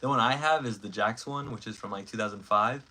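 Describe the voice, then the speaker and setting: A man's voice: fairly low pitch, a little fast, bright, forceful tone, poor audio. A man in his twenties hosting a casual livestream, rambling with lots of fillers and self-corrections while chatting with viewers. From Mexico. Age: 20s. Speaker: stop-motion creator hosting a livestream. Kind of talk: casual livestream chat, reading comments.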